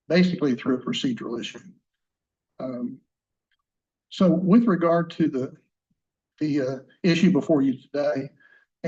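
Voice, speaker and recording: Male attorney, soft-spoken and halting, mid-pitched, formal argument in a hearing room, dull lo-fi sound. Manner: soft-spoken, halting with many fillers and restarts.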